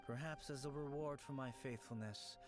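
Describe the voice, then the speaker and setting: A man playing a calm, philosophical shrine dweller in a video game, with a wry, poetic, formal way of talking. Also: mid-range voice, moderate pace, lightly acted. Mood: resigned. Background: game music.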